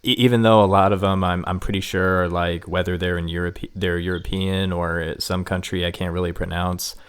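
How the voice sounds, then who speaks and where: Very deep-voiced Midwestern man, halting. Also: little pitch movement, clean tone. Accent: Midwestern American. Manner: hesitant, heavy with filler words. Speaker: podcast host. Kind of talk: casual interview chat.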